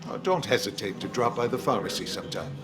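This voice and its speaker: An actor playing a measured, faintly ominous small-town pharmacist in a video game, deliberate, formal and cryptic. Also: male voice, on the low side, animated, lightly acted. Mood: measured, knowing.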